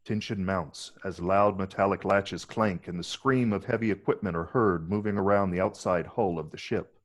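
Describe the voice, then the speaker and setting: A man's voice: sits low, moderate pace. A man narrating stage directions from a film script in a dramatic table read.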